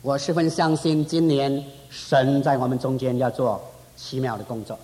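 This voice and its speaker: A man's voice: mid-range voice, level tone, normal speed, dark tone. A man preaching a fervent sermon at a church conference. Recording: reverberant hall.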